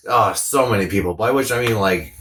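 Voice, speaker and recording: A man's voice: on the low side, fairly quick, a bit bright, a little hiss or hum. A man co-hosting a podcast, chatting casually about films in an informal, joking way. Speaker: podcast co-host. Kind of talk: casual comedic film chat.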